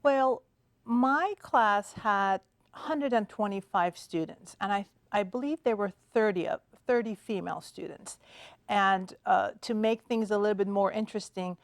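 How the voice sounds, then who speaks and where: A woman's voice: mid-range voice, gravelly, dry recording. Middle-aged woman, dry studio sound. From Iran. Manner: measured, many "uh" and "um" pauses. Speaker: podiatrist guest. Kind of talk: TV studio interview. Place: TV studio set.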